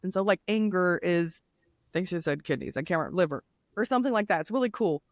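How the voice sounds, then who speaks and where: A middle-aged woman with a deep voice, a nurse and coach speaking candidly as an interview guest. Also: fast talker, dry recording, clean studio sound. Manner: candid, animated storyteller with laughs. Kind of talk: casual interview conversation.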